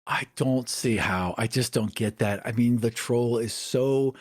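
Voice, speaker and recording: A man's voice: mid-range voice, a little slow, dry recording, studio quality. A man co-hosting a podcast, presenting and chatting. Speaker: podcast co-host, writer and producer.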